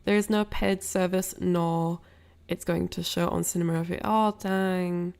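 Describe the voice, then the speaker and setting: Lowish voiced streamer girl, lots of vocal fry, stuffy with a cold, rambly and apologetic chatting to viewers. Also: fairly even intonation, unhurried, a little mellow. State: ill with a cold, sniffly, coughing. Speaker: livestream host. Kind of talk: casual livestream chat with viewers.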